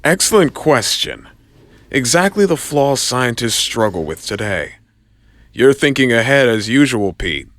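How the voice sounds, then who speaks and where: A man playing an encouraging male science professor in an audio drama, lecturing in a measured, deliberate manner. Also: medium pitch, big pitch swings, a bit bright, dry recording. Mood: approving.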